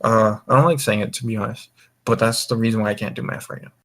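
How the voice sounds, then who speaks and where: A man's voice: on the low side, fairly even intonation, quick pace, a bit bright. A drunk young man hosting a casual group chat, rambling and swearing as he goes. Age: young adult. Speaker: voice call host.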